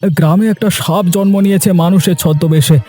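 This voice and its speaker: A man's voice: high-pitched, fairly even intonation, fairly quick, mellow, close to an everyday voice. An actor playing a loyal village man in a cartoon, speaking in an earnest, grave and deferential manner. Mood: urgent, warning. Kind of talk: dubbed cartoon dialogue. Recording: music under the voice.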